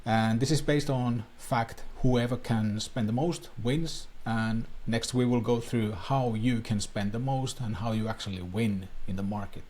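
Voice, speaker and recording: A man's voice: mid-range voice, a little slow, hardly any pauses, a little mellow. A man from Finland, a native Finnish speaker, coaching viewers on online marketing in an earnest talking-head explainer with plain, slightly non-native phrasing.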